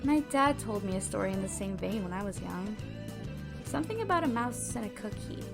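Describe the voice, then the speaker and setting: A woman's voice: mid-range voice, lively intonation, fairly quick, creaky. A woman playing a curious young companion, chatting in a casual, lightly wry way in an audio roleplay. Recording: music behind the voice.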